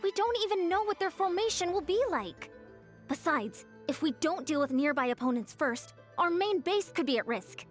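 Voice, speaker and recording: A high, swooping young heroine of a video game, eager and friendly. She speaks with emphatic energy, like a team strategist rallying her friends, with music playing underneath. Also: expressive, normal speed. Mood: confident.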